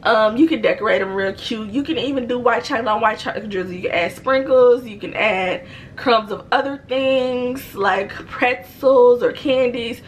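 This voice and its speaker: A woman's voice: on the high side, normal speed, a little hiss or hum. Chatty young woman cooking vlogger. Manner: chatty, playful, talks straight to viewers as "y'all". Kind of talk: casual cook-with-me chat. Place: home room, indoors.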